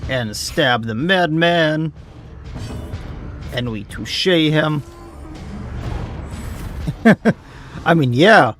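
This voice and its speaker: A man streaming a game, chatting casually, laughing often and reading chat aloud, his voice going hoarse. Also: on the high side, animated, very slow, long pauses, fairly bright tone, soft background music. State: losing his voice. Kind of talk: casual gameplay commentary.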